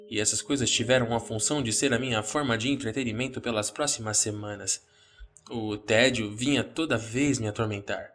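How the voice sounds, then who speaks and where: A man's voice: mid-range voice, fairly bright tone. A man with a Brazilian accent, speaking as the narrator and host of a horror story podcast.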